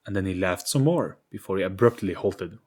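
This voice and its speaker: A man's voice: deep voice, brisk, darkish tone. A man giving a scripted, dramatic narration of an audio story.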